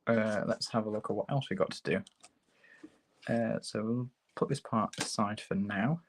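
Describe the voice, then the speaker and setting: A man with a hoarse sore throat, hosting a relaxed, chatty livestream tutorial while building a model kit at his workbench. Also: on the low side, unhurried. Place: hobby workbench, filmed from overhead.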